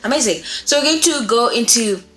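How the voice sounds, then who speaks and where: A woman's voice: on the high side, animated, normal speed, a bit bright. Young woman teaching in an echoey room. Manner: conversational, emphatic, repeats words and checks in with "okay?". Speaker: voice-over course presenter, voice actor. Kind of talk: informal instructional talk to camera. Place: small room at home, indoors. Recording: roomy echo, faint music behind. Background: faint music.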